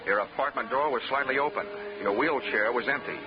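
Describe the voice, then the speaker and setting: An American actor playing a wry, hard-boiled investigator in a 1940s radio drama, full of dry wisecracks. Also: male voice, mid-range voice, a little fast, close to an everyday voice. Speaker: detective narrator and lead character. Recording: dull 1940s radio recording with music.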